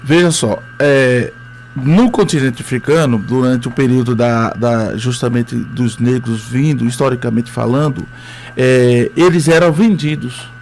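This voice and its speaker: A man's voice: mid-range voice, a little slow, a little mellow, slight background noise. A man with a Northeastern Brazilian accent, a history teacher telling his story as a radio interview guest, hesitant with many fillers.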